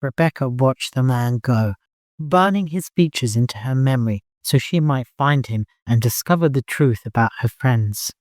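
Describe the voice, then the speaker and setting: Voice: mid-range voice, moderate pace, a little mellow, no room sound, studio quality. An audiobook narrator reading scripted fiction in a dramatic storytelling style.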